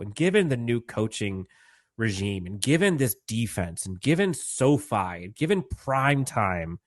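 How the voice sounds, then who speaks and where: A man co-hosting a sports podcast, animated and building long emphatic runs of points. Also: medium pitch, no room sound. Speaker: podcast co-host. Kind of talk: excited sports podcast talk.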